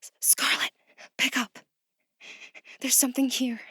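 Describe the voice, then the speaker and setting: A very high, dry voice belongs to a frightened new employee. She speaks slowly and haltingly, anxious and urgent, as in a horror audio drama. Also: little pitch movement, bright, forceful tone, breathy edge, no echo, exaggerated voice. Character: frightened new office employee, a woman caught in lockdown.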